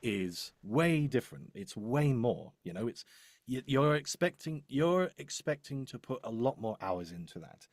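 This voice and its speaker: A man's voice: medium pitch, big pitch swings, unhurried, no room sound, clean studio sound. A man chatting casually and explaining his work, hesitant and rambling as he thinks aloud. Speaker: voice-over demo producer.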